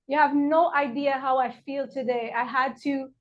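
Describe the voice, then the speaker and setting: A woman's voice: sits high, fairly even intonation, moderate pace. A woman from Morocco, an herbalist, giving a heartfelt live online workshop with frequent fillers from her apothecary over a video call. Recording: dull lo-fi video call audio.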